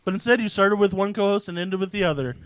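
A man's voice: sits high, quick pace, clear, resonant tone, dry recording, low-quality recording. A man co-hosting a comedy podcast, bantering casually with crude, dry humour. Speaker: podcast co-host.

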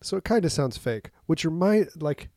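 A man's voice: on the high side, lively intonation, normal speed, a little mellow, no echo, clean studio sound. A man co-hosting a podcast in casual chat from his home basement, rambling with many fillers and frequent laughs. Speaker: podcast co-host.

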